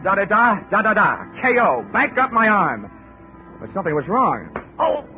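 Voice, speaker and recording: A hardboiled investigator narrating. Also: male voice, high-pitched, sing-song, moderate pace. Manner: dry, hardboiled wisecracks. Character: wisecracking male insurance investigator. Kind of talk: 1940s radio acting, first-person narration. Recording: tinny 1940s radio broadcast with music.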